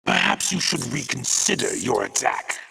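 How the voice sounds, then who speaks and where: A man voicing a suspicious, indignant alien hive-mind creature in a video game, with a raspy, distorted, gravelly voice. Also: medium pitch, big pitch swings, moderate pace, distant mic, music in the background. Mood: wary. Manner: ominous, indignant. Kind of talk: dramatic video game voice acting.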